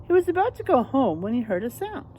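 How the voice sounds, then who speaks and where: Animated, high-voiced woman reading to children. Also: quick pace, slight background noise, rough recording. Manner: simple explanations and questions to young listeners. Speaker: children's read-aloud host. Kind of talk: animated storybook read-aloud for children.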